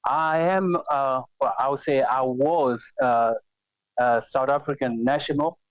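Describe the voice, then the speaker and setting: A man's voice: mid-range voice, a little slow, clear, resonant tone, dry recording. A man from Congo with a Congolese accent, calling in to a talk show and speaking hesitantly with many fillers and restarts. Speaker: caller. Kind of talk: phone-in call. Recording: dull lo-fi phone-in audio.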